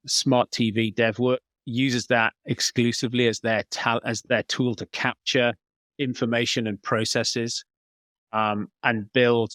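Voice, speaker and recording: A man's voice: medium pitch, a bit bright, no echo. A man giving conversational interview answers as a podcast guest, explaining things as he thinks aloud. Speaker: podcast guest, business coach.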